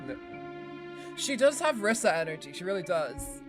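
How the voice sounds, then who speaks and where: An Irish woman, a voice actor, chatting casually on a stream with quick self-mocking asides and laughs. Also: lowish voice, very animated, music in the background. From Ireland. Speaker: voice actor and streamer reacting to a game video. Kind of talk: casual chat.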